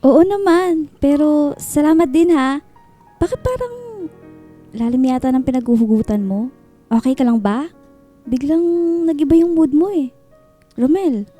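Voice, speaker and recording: A woman's voice: sits high, expressive, a little slow, long pauses, dark tone, faint music behind. A woman playing a smitten, teasing, naive young woman with a Filipino accent in a radio drama. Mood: concerned, flustered.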